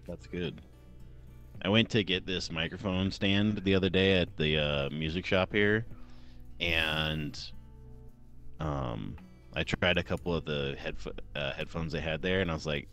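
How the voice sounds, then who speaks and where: Young guy with a super deep radio voice, laid back stream chat, little music under it. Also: a little slow. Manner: relaxed, narrates his work as he goes. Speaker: streamer designing a keyboard. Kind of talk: casual live-stream chat. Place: small room at home. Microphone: headset or desk mic at a computer.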